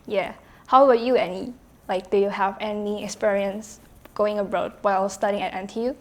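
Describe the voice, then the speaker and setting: A woman's voice: mid-range voice, fairly even intonation, normal speed, a little mellow. A young woman whose first language is Indonesian, chatting casually on a student panel and often saying "like". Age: young adult. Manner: chatty, fills speech with "like".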